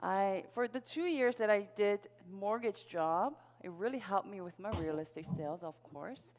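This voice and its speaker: A woman's voice: mid-range voice, moderate pace, a little mellow. Female stage presenter, middle-aged, Korean native speaker. Upbeat, audience-rallying sales talk with personal storytelling, heard through a hall's long reverb. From Seoul, South Korea. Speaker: sales presenter at a network marketing event. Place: large event hall on stage. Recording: reverberant hall PA sound.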